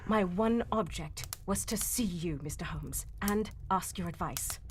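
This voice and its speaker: A woman's voice: lowish voice, measured pace, lightly acted. A woman playing a frightened young client in a live 1940s-style radio mystery, speaking in a hesitant, melodramatic way. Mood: fearful. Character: Miss Stoner, frightened young woman client seeking help. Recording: faint background noise, live performance.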